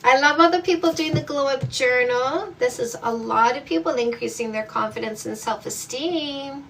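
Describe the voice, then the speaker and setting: A woman's voice: high-pitched, moderate pace, creaky, fairly bright tone, distant mic, a little hiss or hum, poor audio. A woman dating coach from Canada hosting a live stream, reading viewer comments aloud in an upbeat, affectionate way.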